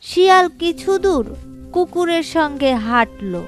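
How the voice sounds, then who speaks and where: A woman's voice: very high-pitched, lively intonation, a little slow. A woman narrating a scripted children's story as a storyteller. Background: background music.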